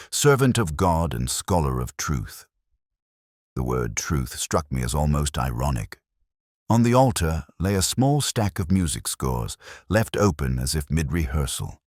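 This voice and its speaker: A man narrating a scripted first-person detective story in a measured, brooding, literary manner. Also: rumbling low, darkish tone, dry recording, studio quality.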